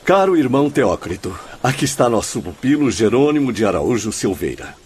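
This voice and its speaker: An actor in a radio drama playing a formal, polite and concerned assistant to a director. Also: male voice, medium pitch, very animated, a little fast, a little mellow, a little hiss or hum, close to an everyday voice. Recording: music behind the voice.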